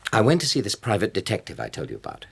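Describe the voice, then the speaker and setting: Middle-aged English husband: harassed, defensive, low husky voice with big swings, radio drama. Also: male voice, huge pitch swings, rapid-fire, exaggerated voice. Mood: earnest. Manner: exasperated, insistent. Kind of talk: naturalistic radio drama acting.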